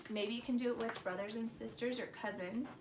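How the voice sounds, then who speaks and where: A woman's voice: a little high, steady tone, fairly quick. A woman hosting a preschool story time, talking to young children in a sing-song teacher's manner with simple questions. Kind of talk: children's lesson and read-aloud. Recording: roomy, distant mic with faint noise.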